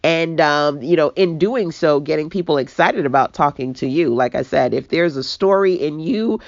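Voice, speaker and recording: A woman from Brooklyn with a deep, raspy voice, giving a chatty motivational talk as a business coach, laughing and quoting people as she goes. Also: normal speed, dry recording. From Brooklyn, New York. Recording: dull lo-fi dry recording.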